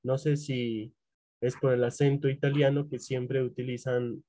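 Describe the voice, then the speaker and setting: A man's voice: medium pitch, little pitch movement, unhurried, dark tone, no echo. A man, a student, politely and tentatively putting a question to his teacher in class.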